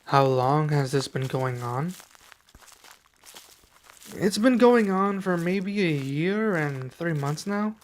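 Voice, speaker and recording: A man playing a soft-spoken young dragon adventurer. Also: fairly high pitch, animated. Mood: explaining. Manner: soft-spoken, measured, with pauses. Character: young male dragon adventurer, serious and guarded. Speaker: ASMR roleplay performer. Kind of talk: ASMR roleplay.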